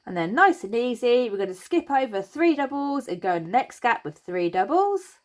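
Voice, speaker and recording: A woman hosting a crochet tutorial, chatty and reassuring as she talks through each step, her voice a little croaky. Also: a little high, sing-song, moderate pace. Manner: chatty and reassuring, thinks aloud while working. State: slightly croaky voice.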